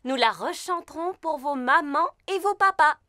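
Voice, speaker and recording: A woman's voice: high-pitched, lively intonation, normal speed, heavy background noise. A woman voicing an enthusiastic gazelle schoolteacher in a children's cartoon. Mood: teacherly.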